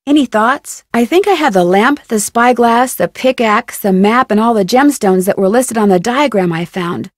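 A woman playing a young American amateur detective in a video game, curious and polite, speaking clearly in an inquisitive way. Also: a little high, normal speed, few pauses, no room sound, studio quality, natural voice. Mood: curious, puzzled. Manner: inquisitive, clearly enunciated, courteous. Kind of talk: video game dialogue acting.

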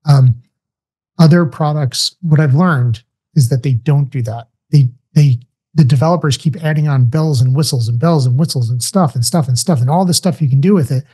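A man's voice: fairly high pitch, warm tone, breathy edge. A man in his forties, a company founder guesting on an interview show, explaining how his product works step by step from a small room at home. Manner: brisk, explanatory, walks through steps. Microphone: large studio mic on arm with headphones, video call.

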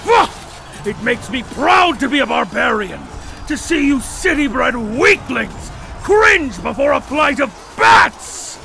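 An actor playing a savage barbarian warrior in a fantasy audio drama, commanding and contemptuous, with a gravelly roar. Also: male voice, extremely high pitch, sing-song, takes it slowly, over music, exaggerated voice. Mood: furious, scornful. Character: savage, fierce male barbarian warrior rallying troops.

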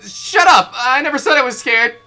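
A woman voicing a hot-headed, blustering teenage boy, forceful and indignant, in a game fan dub. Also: high voice, expressive, normal speed, soft background music, voice pushed up. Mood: defensive, angry.

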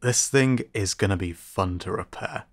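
Sci-fi repairman, male actor: easygoing joker, slightly low voice, conversational roleplay delivery. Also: moderate pace. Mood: teasing, friendly. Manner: casual, joking, chuckling. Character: teasing, friendly male sci-fi tinkerer and repairman.

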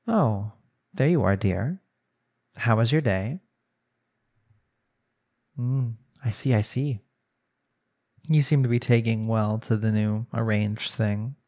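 A man's voice: fairly low pitch, slow, long pauses, a little mellow. A man voicing a young newlywed husband in an arranged marriage, speaking conversationally in an intimate audio roleplay. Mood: casual, teasing.

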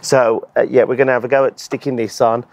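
A man in his thirties with a hoarse voice, relaxed and explanatory, demonstrating an installation outdoors in a garden on a lapel mic. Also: medium pitch, fast talker. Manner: relaxed, explanatory, with frequent ums and so's. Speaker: EV charger installer and channel host. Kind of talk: hands-on installation demo. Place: outdoor garden beside a wooden shed.

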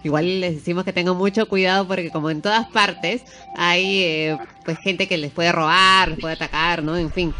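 A woman's voice: fairly low pitch, steady tone, moderate pace, few pauses, bright, forceful tone. A woman co-hosting a radio interview who asks questions hesitantly and repeats words while she forms them. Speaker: radio co-host.

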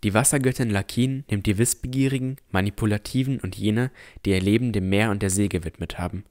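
A man playing an earnest, pensive village boy of sixteen, narrating a fantasy audio drama in a reflective, deliberate way. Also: on the low side, darkish tone, close to an everyday voice. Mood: reflective, solemn.

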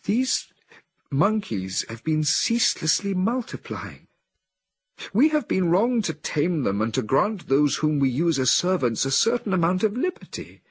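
Man putting on a frightened woman, anguished, sighing, high husky voice, storybook reading. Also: very animated, measured pace, no echo, full character voice. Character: anxious frightened woman under hypnosis. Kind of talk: audiobook reading.

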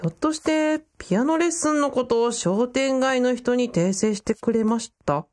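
A woman's voice: fairly high pitch, expressive, moderate pace, darkish tone, lightly acted. A woman playing a flustered, earnest young male student in a radio drama, speaking in casual but deferential youthful language. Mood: puzzled.

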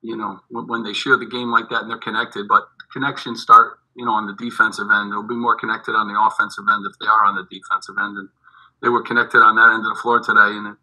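Halting middle-aged coach on a video call. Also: male voice, fairly low pitch, little pitch movement, pushed and bright, rough recording. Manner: halting, repeats words, many fillers. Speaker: head basketball coach. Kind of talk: postgame press conference answers. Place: indoors in front of a team backdrop. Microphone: video call webcam.

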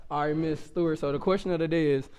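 A young man doing a casual, hyped street interview, loud and slangy, on a handheld mic in a small room. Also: on the high side, fairly even intonation, quick pace, clean tone. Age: young adult. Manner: loud hype delivery, slangy. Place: small indoor school room.

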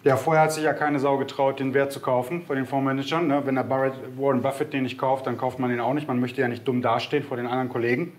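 A man's voice: mid-range voice, level tone, fast, few pauses. A man co-hosting a casual market chat, enthusiastic and opinionated, often ending remarks with a questioning tag. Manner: enthusiastic, bold opinions, frequent "ne?" tags. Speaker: co-host of a broker's market show.

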